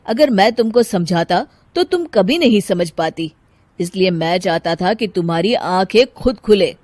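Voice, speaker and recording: A woman voicing a patient, concerned family member in a cartoon story, speaking in a measured, earnest way. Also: medium pitch, expressive, darkish tone, dry recording. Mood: patient, forgiving. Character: concerned, patient mother-in-law and gentle forgiving husband. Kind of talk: Hindi cartoon story voice acting.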